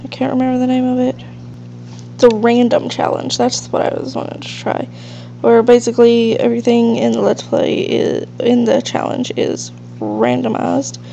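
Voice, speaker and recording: A high-voiced woman hosts a casual gaming let's play, sounding nasal and congested from allergies, with heavy vocal fry throughout. She rambles in an unhurried way, and the home recording is a little dull, with faint hiss. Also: little pitch movement, dark tone. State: stuffed up with allergies. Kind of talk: casual gameplay commentary.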